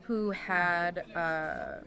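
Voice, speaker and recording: A woman's voice: on the low side, drawn-out words, pushed and bright. A woman speaking as an expert podcast guest, explaining things in an agreeable, conversational way. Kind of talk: casual explanatory chat.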